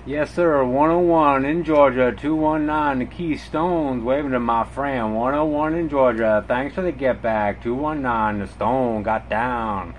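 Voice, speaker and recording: A man's voice: mid-range voice, unhurried, hardly any pauses, highs cut off. A man working as a CB radio operator, making friendly, repetitive call-sign calls in radio slang. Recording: noisy radio static in the room. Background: CB radio static.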